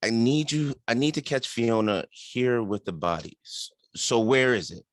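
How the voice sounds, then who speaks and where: A man's voice: mid-range voice, animated, moderate pace, natural voice. An actor playing a threatening, demanding gunman in a dramatic table read. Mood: menacing, impatient. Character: menacing gunman holding women hostage.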